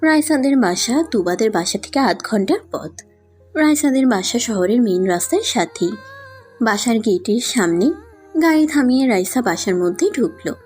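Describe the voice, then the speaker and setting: A woman's voice: a little high, lively intonation, moderate pace, a little mellow. A woman narrating a scripted audio story. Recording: music under the voice.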